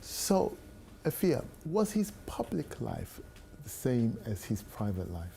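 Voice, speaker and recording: Male presenter with a mellow, lively-pitched delivery for a talk program intro. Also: slow, vocal fry, slight background noise. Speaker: program host. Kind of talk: scripted show introduction.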